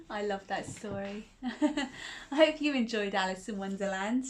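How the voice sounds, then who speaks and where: A woman's voice: medium pitch, slow, a little mellow, a little hiss or hum. A woman reading a children's picture book aloud, chuckling often and asking the listener questions. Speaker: children's storyteller.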